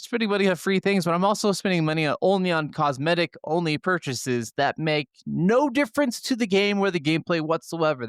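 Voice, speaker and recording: A man's voice: on the high side, clear, resonant tone, no room sound, clean studio sound. A man co-hosting a gaming podcast, giving his opinions in casual conversation. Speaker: podcast co-host.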